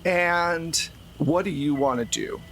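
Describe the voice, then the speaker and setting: A man's voice: a little high, takes it slowly, fairly bright tone. Man running a tabletop game, noisy recording. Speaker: game master. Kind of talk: narrating a tabletop game, casual.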